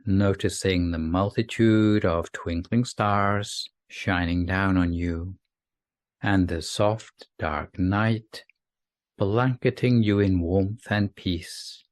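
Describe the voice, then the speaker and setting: A man leading a scripted sleep meditation in a soothing, gentle, unhurried manner, leaving long pauses between phrases. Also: deep voice, fairly even intonation, no room sound, studio quality. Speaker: meditation guide.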